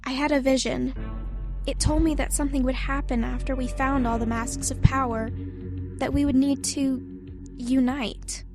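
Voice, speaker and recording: High-voiced, earnest heroine played by a woman. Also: little pitch movement, moderate pace, over music. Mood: hesitant. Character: earnest female elemental hero urging unity. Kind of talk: dramatized fantasy audiobook acting.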